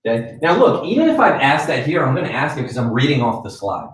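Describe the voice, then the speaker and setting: A man in his forties leading a live sales training in a conference room, with direct, animated coaching and frequent check-ins. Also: medium pitch, quick pace, slight background noise. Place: conference room with a wall screen. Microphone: far camera. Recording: echoey room from far off.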